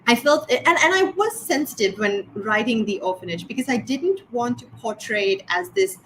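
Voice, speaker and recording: A woman from Sri Lanka, an author answering interview questions thoughtfully, with frequent pauses and restarts over a video call. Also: fairly high pitch, animated, a bit bright, slight background noise, poor audio. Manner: thoughtful, many fillers and restarts. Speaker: author guest.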